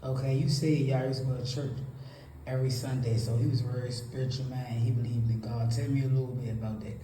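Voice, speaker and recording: A man's voice: mid-range voice, steady tone, fast talker, dark tone. Showman male interviewer, echoey room. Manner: asks prepared questions in a showman's tone. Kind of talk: interview questions. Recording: roomy echo, faint noise.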